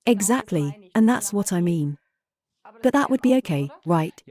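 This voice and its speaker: A woman's voice: mid-range voice, animated, unhurried, darkish tone. A woman hosting an interview, talking conversationally in an engaged, inquisitive manner. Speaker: interviewer, host.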